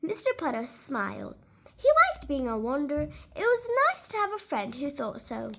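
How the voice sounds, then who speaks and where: A woman reading a children's storybook aloud in an expressive, deliberate way for young listeners. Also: sits high, heavy background noise.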